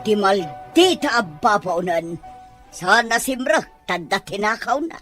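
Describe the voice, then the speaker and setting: A woman with a Filipino accent playing an accusing, sharp-tongued wealthy employer in an Ilocano audio drama. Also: medium pitch, animated, takes it slowly, soft background music. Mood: angry, accusing.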